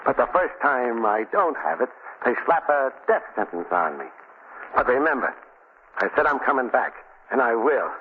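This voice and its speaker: A hissy, narrow 1940s radio recording carries a man acting as a tough American gangster bound for the chair. He is cocky and bent on revenge, with slangy speech. His pitch swings widely as he talks. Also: big pitch swings, normal speed, natural voice. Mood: defiant. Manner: slangy tough-guy talk. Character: vengeful condemned male gangster, cocky and superstitious.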